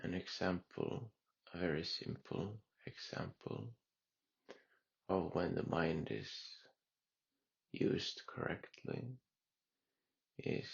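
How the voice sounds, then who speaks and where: A man guiding a meditation in a calm, unhurried way with long pauses. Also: low voice, creaky, breathy edge, highs cut off. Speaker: meditation guide. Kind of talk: guided meditation.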